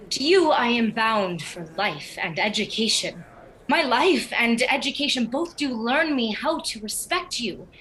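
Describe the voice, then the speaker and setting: A woman's voice: a little high, fairly bright tone, a little hiss or hum, low-quality recording. A woman playing a dutiful yet resolute young noble bride in a Shakespearean radio play, earnest and formal, on a home video-call recording.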